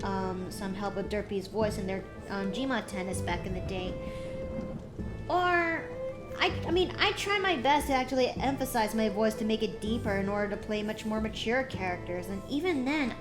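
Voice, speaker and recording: A young woman streamer chatting casually, rambling with many restarts and fillers. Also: mid-range voice. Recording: music playing behind.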